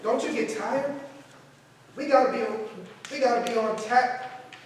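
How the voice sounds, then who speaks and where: A defiant, idealistic actor in a stage drama. He speaks in a high male voice with brooding, earnest conviction. The sound is very reverberant, with some noise behind it. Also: fairly even intonation, unhurried. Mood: impassioned. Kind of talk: staged play reading. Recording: echoey noisy hall, distant mic.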